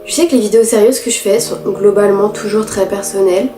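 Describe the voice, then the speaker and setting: A teenage girl with a head cold, talking nasally through a candid, halting confessional vlog. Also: mid-range voice, reverberant, background noise. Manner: halting, candid, many restarts and fillers. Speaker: vlogger.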